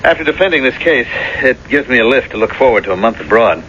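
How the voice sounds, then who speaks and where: A man plays a proud, affectionate lawyer and politician in a vintage radio play. His medium-pitched voice is animated and measured, a touch formal. The recording is dull. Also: a little hiss or hum, close to an everyday voice. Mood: proud, excited. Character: proud, genial successful lawyer and state politician husband. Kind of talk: 1970s radio drama acting.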